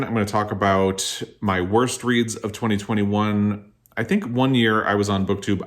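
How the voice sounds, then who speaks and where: A man talking casually and candidly as host of a book vlog, giving his opinions in a conversational, qualified way. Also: mid-range voice. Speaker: book vlogger, host of the video.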